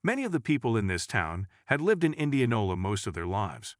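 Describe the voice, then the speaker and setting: A man's voice: fairly low pitch, sing-song, fairly quick, no echo, clean studio sound. A man reading a scripted first-person scary story as a narrator.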